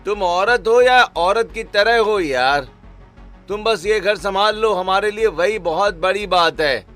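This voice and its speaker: A man's voice: high-pitched, measured pace, a bit bright, soft background music, voice pushed up. A man voicing a dismissive, irritable husband in a cartoon story, talking down to his wife. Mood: scornful. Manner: scornful, raised voice.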